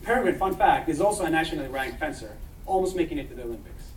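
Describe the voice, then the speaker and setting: A young man from Israel giving an eager startup pitch, talking fast and restarting his phrases. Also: lively intonation, slight background noise. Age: young adult. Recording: echoey hall, mic from far off.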